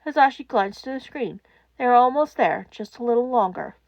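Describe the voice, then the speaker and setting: A woman narrates fiction in a high voice. Her scripted reading is dramatic, with lively intonation. The sound is dry and close. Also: a little fast, vocal fry, no room sound, dull audio. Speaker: podfic narrator.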